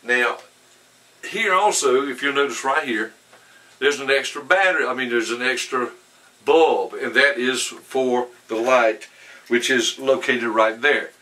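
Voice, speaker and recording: A man giving a casual hands-on product demonstration in a folksy, rambling, halting way. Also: mid-range voice, sharp tone. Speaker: product reviewer. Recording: distant mic with faint background noise.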